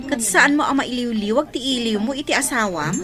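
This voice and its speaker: A woman's voice: fairly high pitch, fairly quick, over music, close to an everyday voice. A woman playing an anxious overseas restaurant worker in a radio drama, with a Filipino accent.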